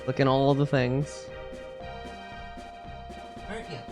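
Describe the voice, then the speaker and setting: A video game streamer chatting casually with viewers on a live stream, rambling and thinking out loud. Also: mid-range voice, fairly even intonation, slow, loud music behind the voice.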